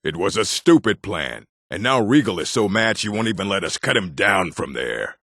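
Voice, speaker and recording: A man voicing a gruff, stern orc for a video game, with a gravelly, husky voice and a clipped, commanding manner. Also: deep voice, big pitch swings, moderate pace, a bit bright, dry recording, clean studio sound, heavily acted. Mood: gruff, hostile. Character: gruff male orc, stern bookish keeper and warrior. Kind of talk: video game character voice acting.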